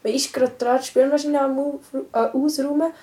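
A woman's voice: high voice, little pitch movement, brisk, dark tone, echoey room, far from the mic, slight background noise. A young teenage girl, chatting casually in a group.

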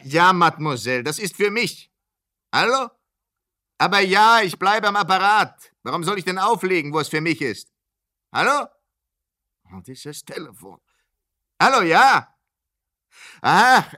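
An actor dubbing a police commissioner who shouts into a bad phone line, giving orders. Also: male voice, fairly high pitch, full character voice. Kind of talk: film dub.

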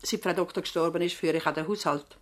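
An actress playing a long-serving practice assistant and housekeeper in a radio drama, conversational, polite and plain-spoken, with a Swiss German accent. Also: female voice, fairly low pitch, fast talker, close to an everyday voice.

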